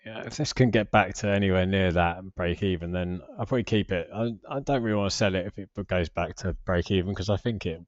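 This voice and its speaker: A British man in his forties with a low voice talks casually through a screen share. He rambles and hesitates, often putting himself down. The recording is slightly dull but quite dry. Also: quick pace, hardly any pauses, no echo. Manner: rambling, hesitant, self-deprecating. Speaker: personal finance vlogger.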